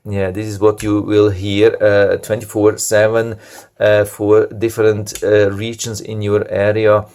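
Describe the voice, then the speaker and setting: A man's voice: lowish voice, little pitch movement, measured pace, a little mellow. A man giving a casual product review, explaining the features of a device step by step in a plain, matter-of-fact way.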